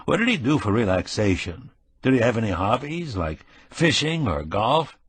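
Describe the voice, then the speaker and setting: A man's voice: mid-range voice, sing-song, moderate pace, husky, no echo. A man voicing a polite, dry-witted man asking questions. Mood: curious.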